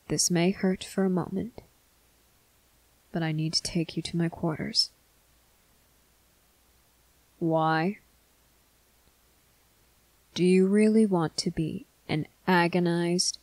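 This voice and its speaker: A performer playing a stern, protective male vampire officer in an ASMR roleplay, speaking deliberately and sometimes hushed, with a commanding manner. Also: medium pitch, gravelly, dry recording, low-quality recording. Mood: firm, steady. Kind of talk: ASMR roleplay addressed to the listener.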